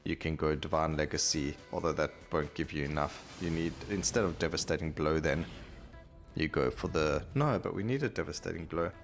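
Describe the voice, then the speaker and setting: Male gaming host, deep and gravelly, casual rambling play-by-play over light game music. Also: a little fast. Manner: rambling, thinking aloud mid-sentence. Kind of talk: casual gameplay commentary.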